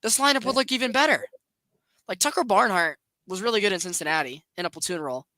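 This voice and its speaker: A young man hosting a podcast, chatting casually about baseball in an animated, rambling way. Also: high-pitched, fast talker, no room sound. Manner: animated, rambling, laughs often. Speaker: podcast host. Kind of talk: casual sports chat.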